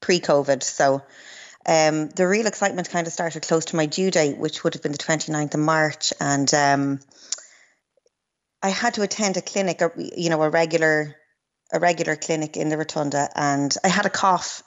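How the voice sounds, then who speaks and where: A low-voiced woman in her thirties recounts her experience with many pauses and ums. The sound is slightly dull and muffled, as if it had lost its top end. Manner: hesitant, lots of ums, storytelling. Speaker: new mother, guest. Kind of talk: radio interview.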